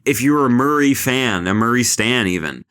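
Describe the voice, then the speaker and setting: A man's voice: mid-range voice, animated, moderate pace, a bit bright, clean tone. A man co-hosting a podcast in casual, comedic chat, rambling and mocking with some swearing. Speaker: podcast co-host.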